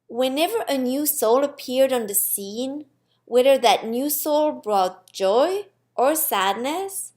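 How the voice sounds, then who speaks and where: Female storyteller: gentle expressive reading, lightly high voice, markedly unhurried.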